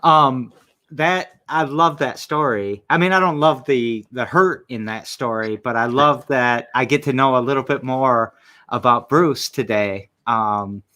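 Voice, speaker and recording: Guy hosting a chat, mid-pitch voice, friendly questions. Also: unhurried, bright, forceful tone. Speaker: interview host. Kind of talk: casual interview chat.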